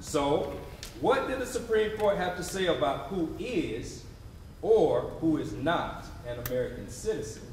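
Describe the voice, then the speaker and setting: A man narrating a scripted readers theatre piece in a deliberate, emphatic storytelling way. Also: fairly high pitch, lively intonation, a little mellow, a little hiss or hum. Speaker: stage narrator. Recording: very echoey room, distant mic, responsive audience.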